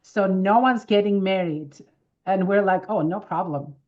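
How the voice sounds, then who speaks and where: A woman in her fifties from Armenia, speaking English with an Armenian accent, telling lively stories as an interview guest. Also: medium pitch, measured pace, muffled recording. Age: 50s. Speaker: wellness expert guest. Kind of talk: casual interview answers.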